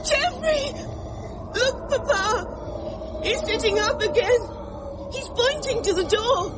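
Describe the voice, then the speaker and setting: A woman's voice: extremely high pitch, animated, takes it slowly, heavy background noise, full character voice. An actress playing a distraught young mother in a radio drama, pleading in a tremulous, tearful voice. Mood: terrified. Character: distraught, frightened young mother at her dying son's bedside.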